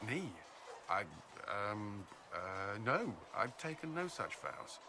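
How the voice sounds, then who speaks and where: A man's voice: lowish voice, big pitch swings, noisy background, exaggerated voice. An actor playing an earnest, dutiful military commander in a fantasy video game, a little hesitant in his speech. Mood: flustered, halting. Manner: hesitant, halting when flustered.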